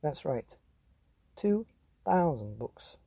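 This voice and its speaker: A man presents bedtime stories for children. His voice is mellow and slightly husky, and his delivery is measured, addressing the listener directly. The sound is dry and close. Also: on the high side, sing-song, dry recording. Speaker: bedtime story podcast host and reader. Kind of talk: scripted children's podcast narration.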